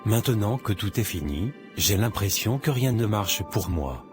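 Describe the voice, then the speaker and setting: Man as a sincere young cartoon hero, very deep voice, clear music behind. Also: lively intonation, normal speed, close to an everyday voice. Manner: earnest, sincere. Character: earnest young man, a lawyer. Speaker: narrator and protagonist.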